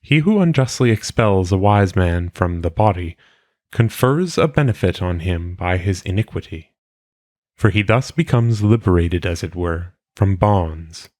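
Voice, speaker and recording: A man reading philosophical maxims aloud in a solemn audiobook style, with measured, deliberate phrasing. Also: deep voice, animated, a little mellow, dry recording, clean studio sound. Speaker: reader.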